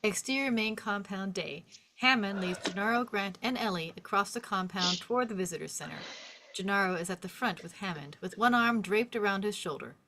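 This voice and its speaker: Female narrator, scripted stage directions, mid-pitched voice, vivid storytelling, faint background noise. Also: a little fast, pushed and bright. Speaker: narrator reading stage directions at a table read.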